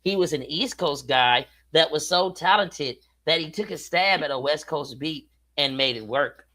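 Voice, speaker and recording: A man's voice: fairly high pitch, expressive, normal speed, pushed and bright. Rapper guy on a podcast, preachy and emphatic, keeps driving it home. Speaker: guest rapper. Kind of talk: casual interview chat.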